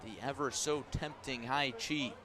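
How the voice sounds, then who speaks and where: A man doing live radio play-by-play of a baseball game, descriptive and unhurried between pitches and punchier on the action. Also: sharp tone. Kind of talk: live radio sports commentary.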